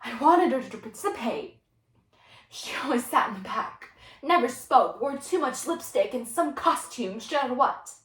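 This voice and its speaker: A woman's voice: high-pitched, animated, normal speed, a bit bright, recorded from a distance, pitched above the performer's own voice. Casting: woman as earnest, weary-of-nonsense female teacher for solo stage drama, restrained and stern, echoey recording. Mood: regretful. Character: exasperated, earnest female teacher, a former psychiatrist. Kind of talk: solo dramatic interpretation performance.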